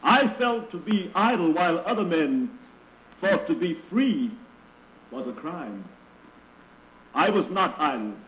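A proud, unflinching rebel held in slavery, in 1940s radio style. He speaks very slowly and deliberately in a slightly high voice, on an echoey old recording with faint hiss. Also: big pitch swings, fairly bright tone. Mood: proud, defiant. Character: proud, defiant enslaved man, fearless gambler turned rebel. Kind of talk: 1940s radio drama acting.

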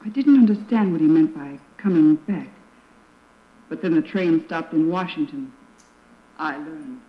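A woman's voice: sits low, animated, a little slow. Young girl narrating, echoey old radio drama. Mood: solemn, remembering. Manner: earnest, dramatic storytelling. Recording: echoey, muffled, noisy old radio recording.

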